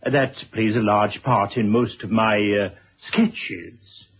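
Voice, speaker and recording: An actor playing a genial, garrulous retired headmaster, formal and wordy, in a 1970s radio drama. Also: male voice, on the low side, fairly even intonation, slow pace, slightly rough, full character voice. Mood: chuckling, self-important. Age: older. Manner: formal, wordy, chuckling asides. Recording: dull old radio drama recording.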